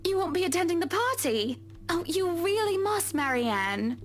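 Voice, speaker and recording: Refined party hostess, very high voice, polite yet insistent, visual novel game. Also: female voice, big pitch swings, normal speed, over music. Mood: gracious insistence. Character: gushing, insistent high-society hostess, adult woman.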